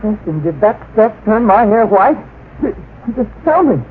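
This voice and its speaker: A man's voice: sits high, very animated, unhurried. An actor in an old radio drama playing an anxious, plaintive young man. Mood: panicked. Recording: muffled, narrow-band old radio recording, hissy.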